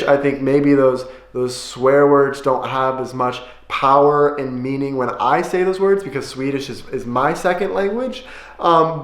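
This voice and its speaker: An American man, a young adult, talking casually and thoughtfully to camera, often restarting his sentences. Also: fairly high pitch. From United States. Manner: reflective, with frequent restarts and fillers. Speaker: vlogger. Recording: echoey room.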